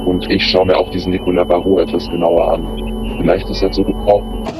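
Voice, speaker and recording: A man playing a calculating, secretive operative in a radio drama, measured and matter-of-fact. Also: low-pitched, little pitch movement, very noisy, close to an everyday voice. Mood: cool, calculating. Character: calculating, secretive male schemer giving a briefing. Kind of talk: German radio drama acting.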